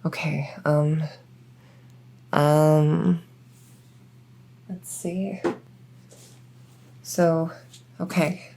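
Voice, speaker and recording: A woman's voice: low voice, level tone, very slow, pauses often, warm tone, a little hiss or hum, exaggerated voice. A woman playing a shy, socially awkward vampire in an ASMR roleplay, speaking hesitantly with anxious stammers. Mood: flustered, hesitant.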